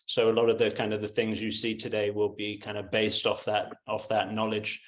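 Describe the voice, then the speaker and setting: A man's voice: fairly low pitch, monotone, a little fast. A man speaking as a webinar guest, hesitant, with stammering starts. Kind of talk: conversational self-introduction.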